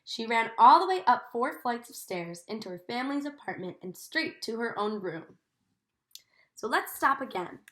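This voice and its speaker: A woman teacher reading a storybook aloud expressively, in a deliberate, instructive manner. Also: fairly high pitch, very animated, pushed and bright. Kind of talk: expressive storybook read-aloud with comprehension questions.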